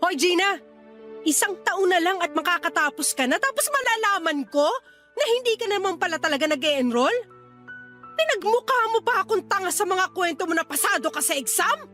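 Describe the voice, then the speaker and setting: An angry Filipino older sister in a radio drama. Her voice sits extremely high as she interrogates and scolds like the family breadwinner. Soft music plays underneath. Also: expressive, fairly quick, exaggerated voice. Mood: angry, accusing. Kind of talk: Tagalog radio drama acting. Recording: faint music behind the voice.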